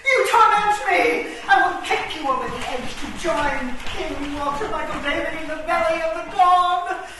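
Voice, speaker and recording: A woman's voice: very high-pitched, normal speed. A woman playing a haughty, tyrannical chess queen with imperious outbursts in a comic radio play. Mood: furious. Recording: echoey room, distant mic, faint noise.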